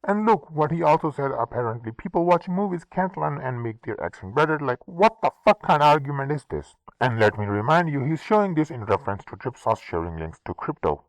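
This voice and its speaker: A Pakistani man rants in a heated, sarcastic commentary, swearing as he goes. His voice sits fairly high and swings widely in pitch. The sound is close and dry. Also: big pitch swings, a little fast, few pauses, dry recording, rough recording. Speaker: commentary channel host. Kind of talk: angry response commentary.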